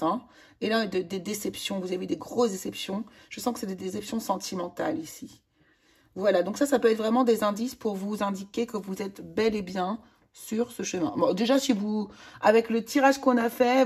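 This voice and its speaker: Hoarse enthusiastic woman reading tarot. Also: medium pitch, a little mellow, a little hiss or hum. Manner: chatty, enthusiastic, many fillers and exclamations. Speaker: tarot reader. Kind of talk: casual tarot card reading to viewers.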